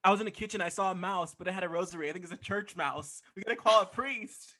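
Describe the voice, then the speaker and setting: Casting: man playing an excitable, earnest spouse, rapid higher-pitched male delivery, improvised comedy. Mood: alarmed, awed. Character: alarmed, excitable spouse spotting a pious mouse.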